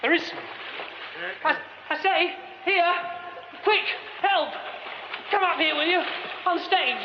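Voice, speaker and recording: An actor playing a polite, excitable, educated theatre man with a British accent and an expressive manner in a radio drama. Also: extremely high pitch, slow pace. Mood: panicked. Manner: expressive, muttering exclamations to himself. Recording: dull, noisy radio recording.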